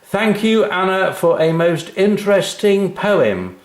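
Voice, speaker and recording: A man's voice: sits high, sing-song, takes it slowly, echoey room, pitched above the performer's own voice, exaggerated voice. A man voicing a pompous, formal male school dignitary in a children's story, with declamatory delivery.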